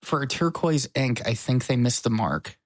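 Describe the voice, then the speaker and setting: Man, mid pitch, joking, self-mocking, casual chat, dry sound. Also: animated, moderate pace, no echo. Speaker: podcast co-host. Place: his apartment.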